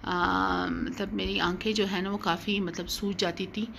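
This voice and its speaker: A woman's voice: mid-range voice, flat delivery, measured pace, fairly bright tone. A middle-aged woman narrating a casual home vlog in a hesitant way, often repeating her phrases. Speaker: vlogger. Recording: clear background noise, home ambience.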